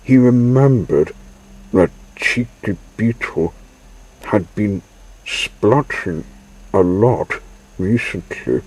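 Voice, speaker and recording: A man reading a children's story aloud in halting, broken phrases. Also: lowish voice, a little mellow, husky, poor audio. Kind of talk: slow read-aloud children's story narration.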